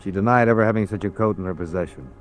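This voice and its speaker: An actor playing a hardboiled insurance investigator, terse and matter-of-fact, narrating and acting in an old radio noir drama. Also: male voice, lowish voice, racing, faint music behind, lightly acted. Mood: factual narration.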